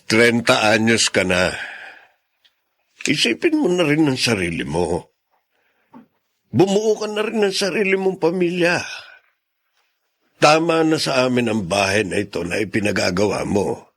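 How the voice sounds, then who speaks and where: A worn out old dad for radio drama, creaky voice, pleading and emotional. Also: male voice, mid-range voice, very animated, measured pace, long pauses, fairly bright tone, exaggerated voice. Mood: tender concern.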